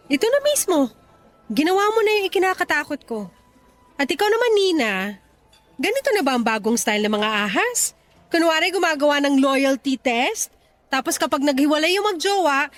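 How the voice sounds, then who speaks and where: A young woman acting a hurt, angry, sarcastic girlfriend in a radio drama. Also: high-pitched. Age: young adult. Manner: sarcastic, confrontational, emotional. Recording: music behind.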